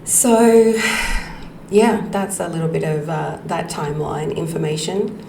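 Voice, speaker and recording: A middle-aged woman hosting a podcast, telling her life story slowly and reflectively, with frequent ums and soft laughs. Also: sits low, fairly even intonation, creaky. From Australia. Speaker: podcast host. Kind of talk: personal storytelling monologue. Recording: echoey room with faint background noise.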